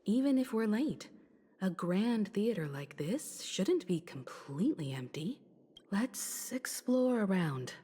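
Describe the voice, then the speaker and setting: A woman voicing a calm, caring, mature companion in a video game, speaking with measured caution. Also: mid-range voice, breathy edge, exaggerated voice. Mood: calm, wary.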